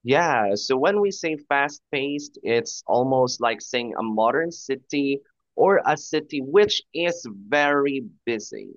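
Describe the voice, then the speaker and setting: A man's voice: mid-range voice, expressive, slow pace, no room sound. A male English tutor speaks patiently over a video call, feeding his student example phrases and encouragement. The audio is dull and lo-fi, with a dry, close sound. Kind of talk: one-on-one conversation lesson.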